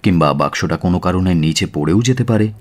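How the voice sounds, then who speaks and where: A man playing a calm, self-assured gentleman detective in a radio drama, reasoning in a measured, persuasive way. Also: sits low. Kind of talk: Bengali radio drama acting.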